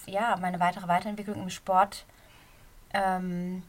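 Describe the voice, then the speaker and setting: A middle-aged woman with a slight cold and a somewhat hoarse voice, a running coach giving a casual, earnest podcast talk from a quiet corner of her bedroom. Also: fairly low pitch, little pitch movement, unhurried. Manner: earnest, encouraging, talks straight to the listener.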